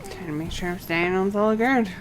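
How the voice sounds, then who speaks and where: A woman livestreaming a game, halting and a little unwell, reading quest text and commenting casually on her play. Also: mid-range voice, big pitch swings, background noise. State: feeling unwell.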